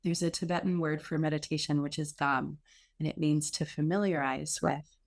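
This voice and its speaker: A woman teaching guided meditation in an unhurried, reassuring, gently instructive way with pauses. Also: low-pitched, fairly even intonation.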